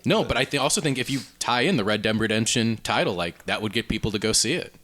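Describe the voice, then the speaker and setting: A man with a fairly low, bright voice co-hosts a podcast. He chats casually, thinking his ideas through aloud in an easy conversational style. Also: animated, fast talker. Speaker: podcast co-host.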